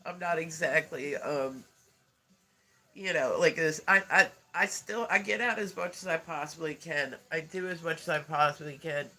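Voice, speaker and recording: A man's voice: a little high, normal speed, pushed and bright. Congested, nasal man chatting on a livestream. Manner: rambling, many filler words, frequent laughter. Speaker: live streamer. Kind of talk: casual livestream chat.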